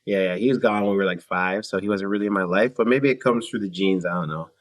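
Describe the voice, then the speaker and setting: Man, from Canada, very low voice, relaxed, casual interview guest. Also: fast. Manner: relaxed, laid-back, many fillers and little laughs. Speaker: guest musician.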